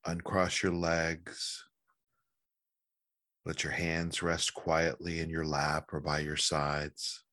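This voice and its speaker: A man leading a guided meditation in a calm, gentle, measured manner with long pauses. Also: extremely low pitch, no room sound, clean studio sound.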